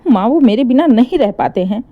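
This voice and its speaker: A woman's voice: on the high side, lively intonation, fairly quick, lo-fi sound, natural voice. A woman voicing a distraught young mother pleading anxiously for her children in an emotional story.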